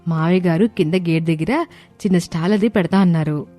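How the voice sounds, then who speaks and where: A woman's voice: on the low side, brisk, warm tone, soft background music, lightly acted. A woman voicing an earnest, eager young daughter-in-law in a dramatized story. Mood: delighted.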